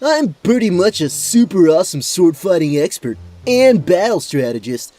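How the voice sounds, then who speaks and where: A man's voice: high voice, sing-song, normal speed, dark tone, dry recording. A man playing a cocky but clueless adventurer, boastful and earnest like a bro, in a fantasy audio drama. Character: cocky, clueless young male adventurer and sword fighter.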